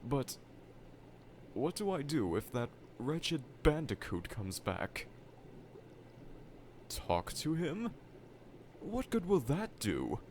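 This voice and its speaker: Evil scientist for audio roleplay: vain, needy, melodramatic, very animated intonation, unhurried delivery, light lab ambience behind. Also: male voice, mid-range voice, a little hiss or hum. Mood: hesitant, pensive. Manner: pompous, formal, melodramatic diction. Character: dejected middle-aged male evil scientist, theatrical, vain, needy. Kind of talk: fan audio roleplay monologue addressed to listener. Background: laboratory ambience.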